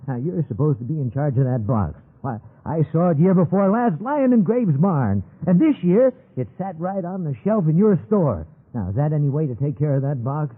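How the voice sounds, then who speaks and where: A man's voice: fairly high pitch, big pitch swings, normal speed, few pauses, breathy edge, phone-quality sound. An actor playing a cranky, stubborn American man in his seventies in a radio drama, folksy and querulous, forever grumbling for the old ways. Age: 70s. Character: cranky, stubborn 77-year-old village grandsire, nostalgic for old ways.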